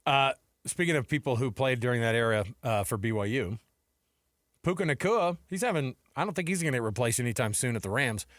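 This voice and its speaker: A man's voice: mid-range voice, animated, fairly quick, fairly bright tone, no room sound, clean studio sound. A man co-hosting a sports radio show, talking casually and thinking aloud with rhetorical questions. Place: radio studio.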